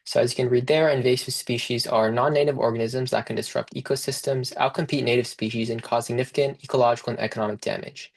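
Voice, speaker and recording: A man's voice: mid-range voice, steady tone, fast talker, vocal fry, few pauses. A young man moderating an online panel as a student research director, reading a prepared introduction in a friendly way.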